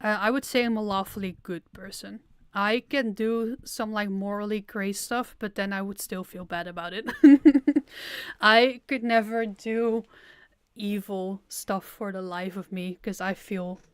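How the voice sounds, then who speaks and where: Young woman, chatty and self-deprecating, smooth clear voice, dry sound. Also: mid-range voice, a little slow, no room sound. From Netherlands. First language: Dutch. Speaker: audio creator streaming a Q&A. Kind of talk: casual livestream Q&A.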